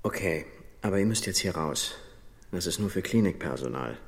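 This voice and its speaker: Blunt doctor in echoing space. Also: male voice, low-pitched, lively intonation, normal speed. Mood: suspicious, stern. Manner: blunt, agitated. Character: gruff, exasperated male hospital doctor. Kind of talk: children's radio play acting. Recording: long echoey reverb, faint music.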